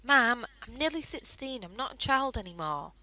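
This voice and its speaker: A woman's voice: a little high, sing-song, moderate pace, sharp tone, low-quality recording. A woman in a radio play acting an anxious, earnest fifteen-year-old girl who speaks in a protesting way. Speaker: amateur radio play actor.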